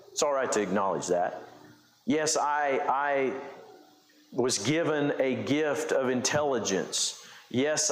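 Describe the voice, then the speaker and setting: A male pastor preaching a conversational sermon, explaining scripture with frequent restarts and fillers. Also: medium pitch. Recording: echoey room.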